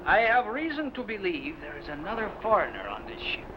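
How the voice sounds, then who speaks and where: Guy playing a shifty plotting sailor, old radio drama, pitch swings all over, noisy tape. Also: wildly swooping pitch, highs cut off. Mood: suspicious, menacing. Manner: theatrical, menacing, deliberate. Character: scheming suspicious male Spanish sailor plotting mutiny. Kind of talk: old-time radio adventure acting. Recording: noisy vintage radio recording.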